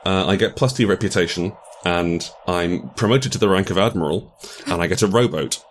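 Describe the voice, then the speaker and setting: A man with a low voice talks casually about role-playing games as a guest designer. He often doubles back on his phrases, hesitating as he finds the wording. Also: normal speed, slight background noise.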